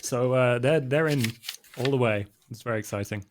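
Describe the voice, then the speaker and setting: A man's voice: medium pitch, normal speed, a little hiss or hum. Casually chatting man with mid-range voice. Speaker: podcast cast member who runs community games. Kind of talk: casual chat.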